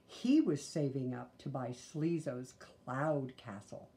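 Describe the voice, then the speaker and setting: A woman reads a children's storybook aloud, deliberate and expressive, with chatty asides. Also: extremely low pitch. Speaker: storybook reader. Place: small living room, indoors.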